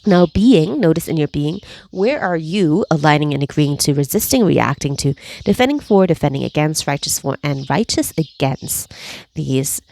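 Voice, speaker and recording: Low gravelly lady leading a slow soothing energy clearing, lots of breathy sighs. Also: lively intonation, a little mellow. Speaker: energy healing facilitator. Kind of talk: guided energy clearing session.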